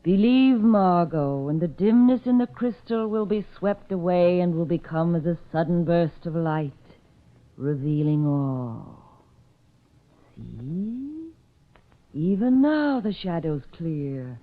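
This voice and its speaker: An actress playing a haughty phony fortune-teller in an old radio drama, speaking in grand, portentous tones. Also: female voice, fairly low pitch, very animated. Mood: mystical, intoning. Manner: grand, portentous, slow-spoken. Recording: old radio recording, narrow tinny band.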